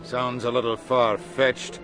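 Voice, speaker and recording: A man's voice: medium pitch, little pitch movement, takes it slowly, over music, natural voice. A man playing a formal, skeptical government official in a video game. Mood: wry, decisive.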